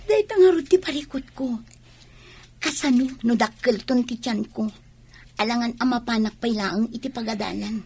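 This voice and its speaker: High-pitched actress as anxious young student. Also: female voice, normal speed, a little mellow, slightly rough. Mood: worried. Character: anxious, scheming young pregnant student girlfriend. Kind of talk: Ilocano radio drama acting.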